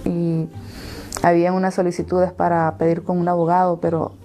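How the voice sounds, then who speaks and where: A woman in her thirties with a Salvadoran accent, giving personal testimony in an interview and pausing between phrases. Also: lowish voice, completely flat delivery, mellow, soft background music. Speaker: interviewee telling her own story.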